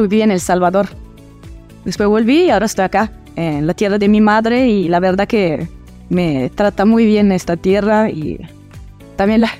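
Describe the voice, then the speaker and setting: A university teacher from Italy answers questions in an interview, her coloring each phrase. She speaks haltingly, pausing often on filler sounds. Her voice has a warm, mellow tone at an everyday pitch for a woman. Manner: hesitant, many filler sounds.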